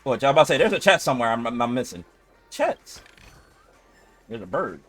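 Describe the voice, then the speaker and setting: A man's voice: mid-range voice, very animated, a little fast, a bit bright, dry recording, soft background music. A male game streamer reacting casually live in short, playful, often repeated interjections.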